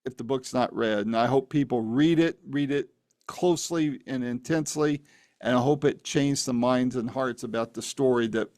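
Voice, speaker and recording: A man's voice: mid-range voice, moderate pace, a little mellow, no room sound, studio quality. A man from the Phoenix area hosting an interview, friendly and chatty, often saying "man". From Phoenix, Arizona area. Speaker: interview host. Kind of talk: casual interview conversation.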